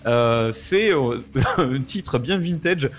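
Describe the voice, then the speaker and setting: A man's voice: medium pitch, lively intonation, slow pace. A man co-hosting a casual live show, informal and a little hesitant, often repeating words. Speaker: show co-host.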